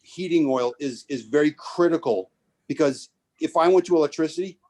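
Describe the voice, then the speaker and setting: A man from Maine speaking up at a town meeting, earnest and a little halting, and he quickens when he presses a point. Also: fairly high pitch, darkish tone. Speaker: resident making public comment. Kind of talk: public comment at a town meeting.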